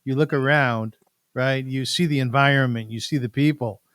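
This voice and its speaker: An effusive male interview host who piles on praise and restarts his phrases as he talks. His voice is smooth and resonant, with a dry, close sound and no room echo. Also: medium pitch, fairly even intonation, normal speed, fairly bright tone. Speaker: show host. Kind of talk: interview hosting.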